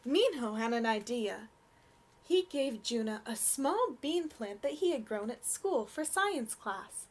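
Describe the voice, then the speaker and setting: A young adult woman reading a storybook aloud for children in an expressive, gentle way. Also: fairly high pitch, slow pace, a little hiss or hum.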